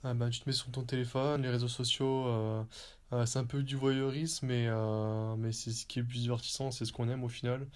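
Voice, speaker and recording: A man giving measured, even answers in an interview. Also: medium pitch.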